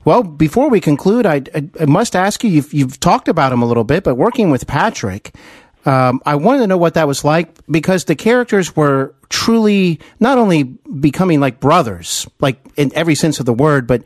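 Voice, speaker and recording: A man's voice: fairly high pitch, sing-song, normal speed, no echo, clean studio sound. A man hosting a conversational podcast interview, effusive and wordy, feeling his way into each question. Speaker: podcast host interviewing a guest.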